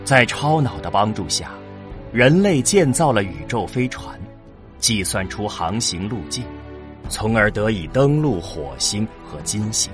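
A man narrating a science-fiction audio drama in a measured, scripted storytelling delivery. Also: lowish voice, very animated. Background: music.

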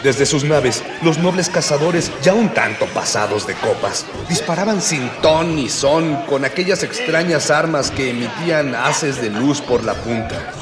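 A man's voice: mid-range voice, lively intonation, unhurried, few pauses, lightly acted. Man as echoey formal radio drama narrator. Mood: narrating. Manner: formal, literary. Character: male storyteller recounting an adventure in ornate language. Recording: echoey, reverberant, crowd noise behind.

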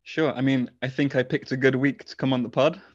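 A man guesting on a podcast, talking in a modest, measured way and chuckling often. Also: mid-range voice, steady tone, dry recording, lo-fi sound. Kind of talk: casual podcast interview.

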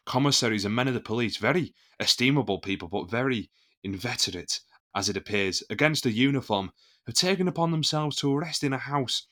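An actor playing a proud, indignant musketeer captain in an audio drama, formal and oratorical. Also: male voice, mid-range voice, lively intonation, a little fast, sharp tone. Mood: formal indignation.

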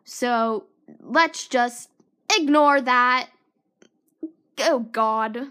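A high, bright teenage girl chatting alone into her podcast mic. She rambles and mocks herself, often trailing off into whispers. Her throat is sore and her voice sounds tired. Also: very slow, clear, resonant tone. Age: teens. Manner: self-mocking, scattered, drifts into whispered asides. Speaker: podcast host. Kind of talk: rambling solo podcast chat.

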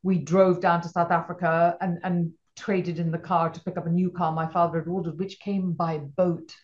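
A woman's voice: on the low side, normal speed, highs cut off. A middle-aged woman from Malawi, a guest entrepreneur, telling stories in casual interview chat with many restarts. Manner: rambling, frequent restarts, animated storytelling.

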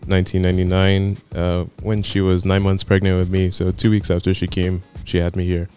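A man in his twenties, a podcast guest telling his story in a laid-back, chatty way with soft laughs. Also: deep voice, monotone, fairly quick, faint music behind. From United States. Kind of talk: casual chat.

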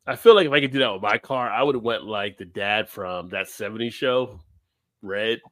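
A man in casual chat, laughing often and telling stories with animated energy. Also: lowish voice, normal speed, no echo, clean studio sound. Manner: laughs often, lively storytelling.

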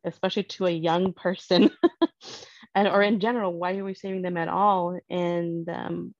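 A woman speaks in a measured, earnest way, thinking each point through as she goes. Her voice sits a little low. The sound is dry and slightly muffled, as if from a plain lo-fi mic. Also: fairly even intonation, no echo. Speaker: guest parent. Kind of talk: casual conversation.

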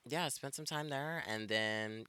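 A man's voice: mid-range voice, measured pace, sharp tone, clear, resonant tone, no echo. A man in his twenties from Ethiopia, chatting reflectively as a guest in a backyard conversation, with many fillers. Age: 20s. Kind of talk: casual conversation. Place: outdoors in a backyard.

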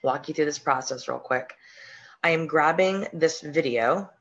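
A hoarse woman with a slight sore throat talks casually through a live-stream screen share, with frequent ums and restarts as she explains her steps. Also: deep voice, a little slow, highs cut off, a little hiss or hum. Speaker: podcast host and online business coach.

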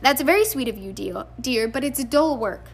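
A woman's voice: high voice, expressive, normal speed, gravelly, breathy edge, exaggerated voice. A woman voicing a bossy, fussing middle-aged witch mother in a storybook, snappy and emphatic but doting.

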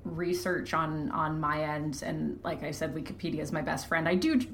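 A woman chatting casually as an interview guest, animated and self-deprecating. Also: low voice, normal speed, creaky. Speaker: podcast guest, fiction podcast creator and host. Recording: echoey room, faint background noise.

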